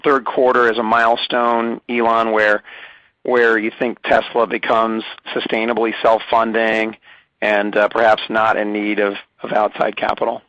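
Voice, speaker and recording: A man's voice: medium pitch, a bit bright, no echo. A man putting a question to company management on an investor conference call, in spontaneous speech. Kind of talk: spoken question on an earnings call. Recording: dull, band-limited dry call audio.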